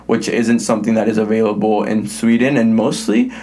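A young male host with a lowish voice chats casually with his guest. He grew up speaking English and Spanish together. His talk is loose and filler-heavy, with plenty of "like" and quick restarts. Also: level tone, dark tone, breathy edge. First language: English and Spanish both. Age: young adult. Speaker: podcast host, college soccer player. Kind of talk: casual interview chat.

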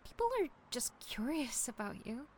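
A woman's voice: on the high side, lively intonation, normal speed, darkish tone, a little hiss or hum, natural voice. A woman playing a young TV interviewer and supportive friend in an audio drama, composed and caring. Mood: bright, friendly. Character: sympathetic, upbeat young female TV interviewer and close friend.

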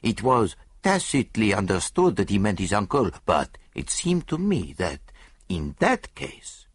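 A man voicing a dapper, self-satisfied little foreign detective in an audiobook, speaking precisely and deliberately. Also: lowish voice, sing-song, husky, no echo, clean studio sound, full character voice. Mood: grave, explanatory.

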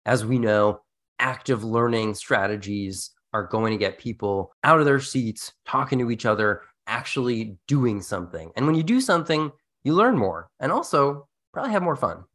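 A podcast host talks in a very lively voice with lots of pitch movement. The delivery is scripted but conversational, pitched for listeners of an educational show. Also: normal speed. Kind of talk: scripted, animated podcast presenting.

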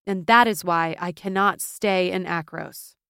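A woman's voice: lowish voice, moderate pace, dry recording, studio quality, lightly acted. A woman voicing a proud, bitter exiled warrior noblewoman in a fantasy audiobook, firm and wry.

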